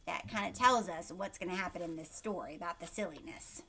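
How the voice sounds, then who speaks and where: A woman's voice: lowish voice, fairly quick, heavy background noise. A woman hosting a children's read-aloud, narrating a storybook expressively and breaking off to question her young listeners in an emphatic way.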